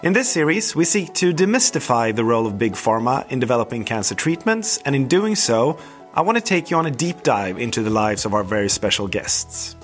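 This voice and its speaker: Man from sweden, podcast host, middle pitch, scripted then casual. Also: big pitch swings, a little fast, faint music behind. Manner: scripted intro, then loose chat with fillers. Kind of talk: podcast interview.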